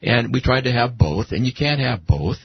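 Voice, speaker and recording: American radio cohost guy, really raspy n gravelly, pushing back on the guest, dry lofi audio. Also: mid-range voice, lively intonation, normal speed, no room sound, lo-fi sound. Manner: challenging, pointed questioning. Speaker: radio show co-host. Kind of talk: argumentative talk radio interview.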